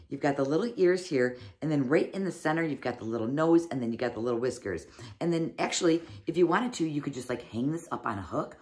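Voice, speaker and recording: Low-voiced chatty woman unboxing. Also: a little mellow. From United States. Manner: chatty, fond of "little" and "like". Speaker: unboxing reviewer. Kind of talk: casual product unboxing. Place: small cozy room indoors. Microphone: fixed camera, seated at desk.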